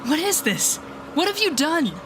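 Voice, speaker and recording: A woman playing a telepathic supervillain in an audio roleplay, a bitter but protective mentor with a sardonic, deliberate manner. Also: high voice, very animated, background noise. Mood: alarmed. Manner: sardonic, deliberate, shifting to soothing whispers. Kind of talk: dramatic audio roleplay acting.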